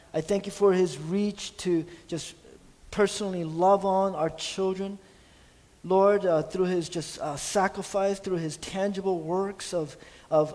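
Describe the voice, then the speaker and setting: A middle-aged man with a slightly raised voice leads a church prayer, earnest and halting, often pausing on fillers. His voice rings with a long reverb off the sanctuary walls. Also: high-pitched, a little mellow. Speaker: pastor. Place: church sanctuary stage at a pulpit.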